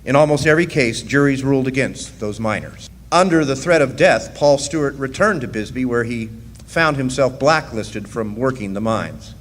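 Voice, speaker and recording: Man as wry old-West drifter, medium pitch, storytelling, radio drama narration, echoing hall. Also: expressive, moderate pace, close to an everyday voice. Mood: wry, reflective. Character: wry male rail-riding drifter looking back, 1910s. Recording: live hall reverb, faint background noise.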